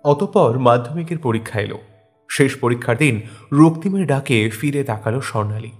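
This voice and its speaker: A man narrating a scripted love story in a dramatic, wistful, expressive manner. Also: medium pitch, moderate pace. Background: soft instrumental music.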